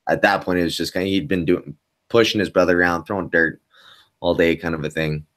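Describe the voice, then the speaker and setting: A young man with a boomy voice, the host, talking candidly about his kids over a video call from a home studio. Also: fairly even intonation, brisk, fairly bright tone. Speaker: podcast host. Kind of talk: casual interview chat.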